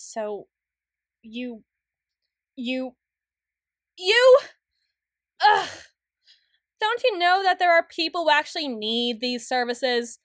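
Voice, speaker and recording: A woman playing a flustered, prim, studious young tsundere in an ASMR roleplay, speaking in halting, stammering, formal sentences. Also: high voice, a bit bright, no echo, studio quality. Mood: indignant. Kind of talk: ASMR roleplay, acted monologue.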